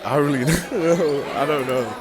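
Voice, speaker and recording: A man's voice: a little high, moderate pace, low-quality recording. Teen guy answering hesitantly, casual, busy crowd noise behind. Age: teenager. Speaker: student interviewee. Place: busy high school grounds. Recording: loud crowd chatter, off the mic.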